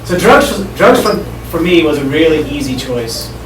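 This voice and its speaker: A middle-aged man, telling students his story in a relaxed, conversational way. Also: fairly high pitch, moderate pace. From Sweden. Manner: relaxed, conversational, addresses the audience directly. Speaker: speaker at a school assembly. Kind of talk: personal story told to students. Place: school hall. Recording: echoey hall from far off, noisy room.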